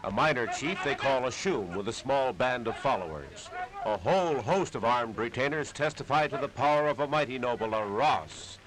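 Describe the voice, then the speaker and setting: A man's voice: medium pitch, lively intonation, normal speed, background noise. An American man narrating a 1930s newsreel in a dramatic, declamatory manner on an old period soundtrack.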